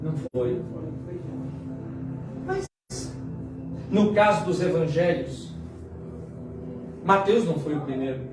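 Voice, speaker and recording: A Brazilian man in his late fifties preaching a sermon as a pastor, using dramatic pauses and repetition for emphasis. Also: a little high, expressive. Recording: very echoey hall, distant mic, music behind. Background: music.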